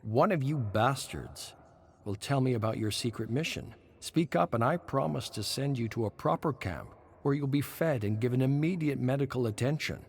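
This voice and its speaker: A man's voice: medium pitch, expressive, normal speed, a little mellow, breathy edge, pitched above the performer's own voice. Man acting a menacing, commanding war captain. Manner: threatening, commanding. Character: cruel, menacing male enemy captain, as interpreted.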